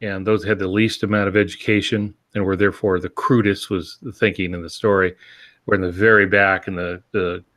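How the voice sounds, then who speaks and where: A man with a fairly low voice talks as a co-host in a casual panel discussion. He explains through examples, feeling his way forward in a halting, measured manner. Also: level tone, a bit bright. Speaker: podcast co-host.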